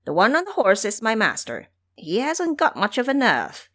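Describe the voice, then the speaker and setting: A woman's voice: on the high side, wildly swooping pitch, moderate pace, a bit bright, heavily acted. A woman voicing a bold, cocky male monkey disciple in an audio drama, boastful and fond of lecturing. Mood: brash, chatty. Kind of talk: audio drama character acting.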